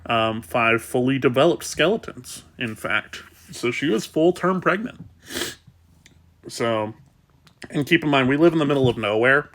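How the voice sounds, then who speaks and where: A man with a stuffed-up, sniffly voice, talking casually and softly about rescuing kittens, with many pauses and fillers. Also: medium pitch, lively intonation. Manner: soft, rambling, with fillers. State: congested, sniffling. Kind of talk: casual vlog talk.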